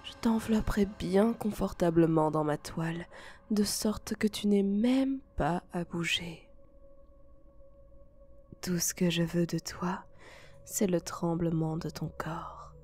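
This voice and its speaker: Slow, whispering seductive spider-woman, acted by a woman. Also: lowish voice, darkish tone, faint music behind. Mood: soothing, possessive. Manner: breathy whispering, slow coaxing delivery. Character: seductive, possessive female spider-woman monster, soothing and predatory. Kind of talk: ASMR roleplay.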